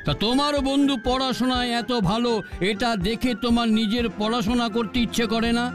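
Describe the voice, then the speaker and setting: A stern cartoon school headmaster with a very high voice for a man. He lectures and admonishes, yet stays fair. Children's music plays behind him. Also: steady tone, fairly quick. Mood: admonishing.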